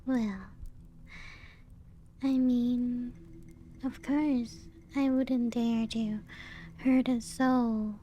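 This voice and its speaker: A woman playing a playful, teasing vampire who guides guests round her ancient castle in soft-spoken ASMR roleplay, hushed and often slipping into whispers. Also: fairly high pitch, flat delivery, extremely slow, long pauses, a little hiss or hum. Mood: teasing, veiled menace. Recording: music playing behind the voice. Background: background music.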